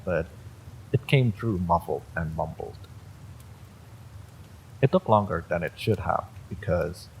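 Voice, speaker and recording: A man's voice: sits low, gravelly, a little hiss or hum, poor audio. A man reading a scripted first-person horror story as its narrator.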